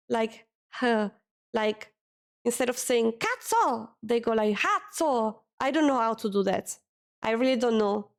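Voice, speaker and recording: Slow, hesitant woman streamer. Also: fairly high pitch, a little mellow, clear, resonant tone. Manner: hesitant, searching for words, self-correcting. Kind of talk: casual chat with chat readers.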